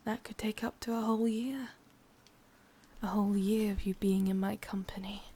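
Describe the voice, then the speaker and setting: A woman with an English accent playing an ancient, possessive, sadistic witch in a whispered ASMR roleplay, slow, breathy and softly menacing. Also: mid-range voice.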